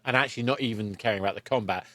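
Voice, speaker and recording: A man's voice: on the low side, rapid-fire, pushed and bright, dry recording. Give me a male podcast host, dry close sound. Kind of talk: conversational interview, explaining.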